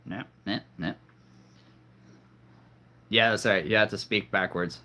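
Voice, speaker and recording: A man's voice: on the low side, fairly even intonation, takes it slowly, pushed and bright. A man hosting a live stream, rambling casually and making cheesy jokes to his viewers. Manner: rambling, jokey, punning.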